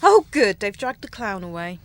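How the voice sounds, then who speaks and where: A woman's voice: mid-range voice, very animated, moderate pace, a crowd in the background, natural voice. A woman playing a firm, exasperated airline check-in clerk in a radio comedy, speaking emphatically. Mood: flustered. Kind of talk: spoof docu-soap radio comedy acting.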